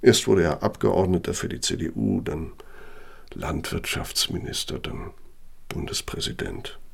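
An elderly architect in a radio drama, very deep and husky. He holds forth grandly about his past, genteel in phrasing and thoroughly pleased with himself. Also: big pitch swings, unhurried, heavily acted. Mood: proud, reminiscing. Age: older. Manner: expansive, boastful, genteel. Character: self-satisfied elderly German architect reminiscing over his past. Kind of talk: radio play acting.

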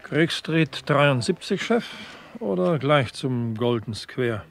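A man's voice: mid-range voice, expressive, slow, gravelly. A man playing a brash, impatient police sidekick in a German radio crime drama, blunt and needling. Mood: brisk. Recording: vintage radio play, faint music.

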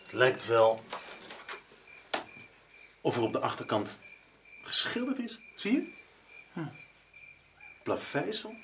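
Earnest researcher, very animated. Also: male voice, medium pitch, takes it slowly, long pauses, slight background noise, exaggerated voice. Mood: intrigued, tense. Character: earnest, disheartened male researcher-writer chasing an art discovery. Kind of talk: radio drama acting.

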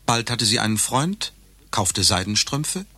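An actor narrating a satirical radio play as a matter-of-fact storyteller with a husky voice. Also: male voice, on the low side, sing-song, a little slow, bright, forceful tone, dry recording, full character voice.

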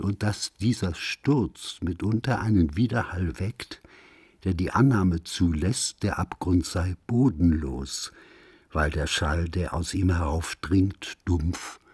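A man with a low, husky voice gives a solemn scripted reading of literary prose. He takes his time over each phrase, grave and declamatory in the way of a radio recitation. The pace is slow and deliberate. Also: darkish tone, no echo, clean studio sound. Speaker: reader of a literary essay.

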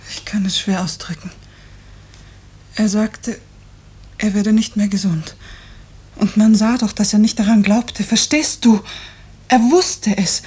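An actress playing a troubled, evasive wife in a 1950s radio drama, speaking softly and hesitantly with a husky voice. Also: female voice, medium pitch, unhurried, long pauses. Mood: fearful, troubled. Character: troubled, evasive wife hiding her illness and fear.

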